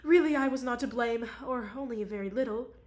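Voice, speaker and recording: High excitable young lady, read by a woman, tearful and agitated, rising loud. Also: a little fast, lightly acted.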